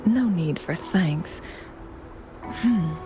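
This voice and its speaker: A woman playing a composed, gracious noble lady counselor in a video game, poised and measured with a teasing edge. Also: on the low side, animated, poor audio. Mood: gracious, lightly teasing. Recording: music behind the voice.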